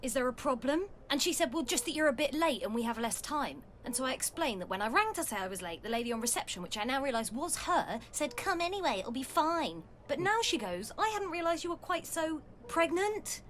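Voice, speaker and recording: A high-pitched blunt pregnant schoolteacher in an audio play. She is sardonic and dramatic in how she tells things, with faint noise and ambience behind her. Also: very animated, brisk, hardly any pauses, fairly bright tone. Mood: indignant.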